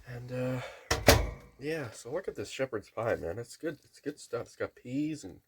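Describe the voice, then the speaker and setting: A man's voice: mid-range voice, animated, moderate pace, heavy background noise. A man with a nasal voice talking in a rambling, casual vlog monologue at home, restarting often and swearing casually. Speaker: vlogger. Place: home kitchen near a microwave.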